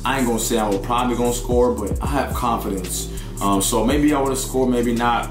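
A young man with a raspy, sore voice giving excited live commentary on a football video game and talking to his viewers. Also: mid-range voice, a little fast, echoey room, music in the background. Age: young adult. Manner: excitable, hypes plays, chatty with viewers. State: hoarse, sore voice. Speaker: gaming YouTuber.

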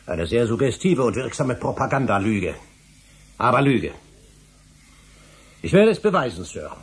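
A scholarly obsessive in a radio play, earnest and formal. The voice is mid-pitched and very breathy-rough, pressing each point with pleading insistence. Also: male voice, sing-song, measured pace, exaggerated voice. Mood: earnest, insistent. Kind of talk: German radio drama acting.

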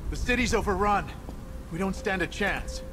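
A man's voice: high-pitched, moderate pace, background noise, close to an everyday voice. A man playing a frightened, shaken police officer in a video game. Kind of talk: video game cutscene acting.

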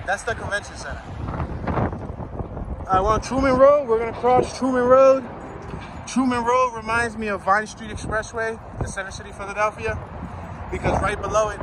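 A man in his early thirties giving a casual walking tour, speaking informally and reading out signs and street names as he goes. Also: very high voice. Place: city sidewalk outdoors, while walking. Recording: outdoor street traffic and ambient noise.

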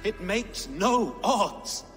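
Slow, solemn Saxon knight. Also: male voice, high-pitched, expressive, a bit bright, soft background music, exaggerated voice. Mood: defiant. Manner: solemn, proud, declamatory. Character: defiant devout Saxon knight, fiercely loyal to his king. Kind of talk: dramatic game cutscene acting. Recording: long hall reverb, noisy ambience.

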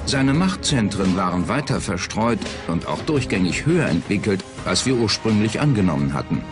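A man's voice: lowish voice, sing-song, moderate pace. A man reading scripted narration as a documentary narrator. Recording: loud music behind the voice. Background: music bed.

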